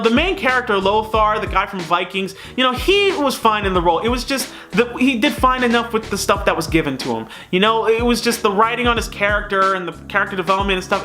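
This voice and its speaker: A man's voice: high voice, pushed and bright. A man hosting a casual, opinionated review, talking straight to viewers in a conversational, emphatic way. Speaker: film reviewer and host.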